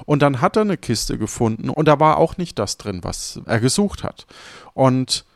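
Guy hosting a podcast, mid pitch, dry close sound, musing out loud casually. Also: big pitch swings, moderate pace, creaky, no room sound. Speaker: podcast host.

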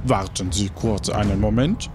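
A man playing a polite, flattering French cannibal cook in a tabletop game, haltingly and with French words mixed in. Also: on the low side, background noise, lightly acted. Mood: courteous.

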